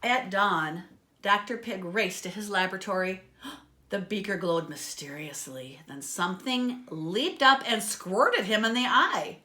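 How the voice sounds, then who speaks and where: Bright-voiced woman reading a children's story. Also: medium pitch, unhurried. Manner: expressive, dramatic. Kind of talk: children's read-aloud with asides to listeners. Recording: echoey, roomy sound.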